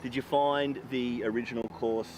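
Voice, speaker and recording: A man's voice: medium pitch, steady tone, moderate pace, a crowd in the background, rough recording. A man from Australia doing an upbeat sideline interview outdoors at a sports event, chatty and full of ums and uhs. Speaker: field interviewer. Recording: outdoor mic with clear background noise.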